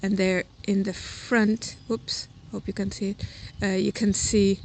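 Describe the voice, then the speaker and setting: A woman's voice: mid-range voice, flat delivery, a little slow, a little mellow. A woman giving a casual, unscripted walking tour, thinking aloud hesitantly and informally, recorded outdoors on a handheld device. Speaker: host giving a tour of their own garden plot. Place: outdoors around a house and garden. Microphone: handheld camera or phone while walking. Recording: noisy outdoor handheld recording, ambient sound.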